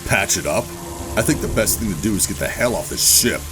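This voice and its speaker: An actor playing a gruff, guarded covert operative who narrates a sci-fi horror audio drama in a brooding, deliberate way. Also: male voice, sits low, expressive, music in the background. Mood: urgent. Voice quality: gravelly. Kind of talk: first-person scripted audio drama narration and dialogue.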